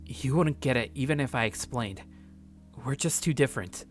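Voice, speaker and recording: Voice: medium pitch, moderate pace, soft background music, close to an everyday voice. A performer reading a visual novel and voicing a grumpy, withdrawn young man who grumbles hesitantly. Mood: grumpy, defensive. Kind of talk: visual novel character read-aloud.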